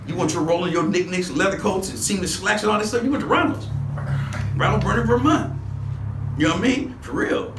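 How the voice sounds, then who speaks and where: A man's voice: sits high, fairly quick, background noise. A man in his sixties from Los Angeles, reminiscing as an interview guest in rambling street slang with many restarts. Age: 60s. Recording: echoey room, mic at a distance.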